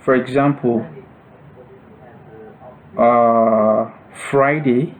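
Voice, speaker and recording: A man narrating a step-by-step software tutorial as an instructor, explaining things in short, separated phrases. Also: mid-range voice, fairly even intonation, extremely slow, low-quality recording.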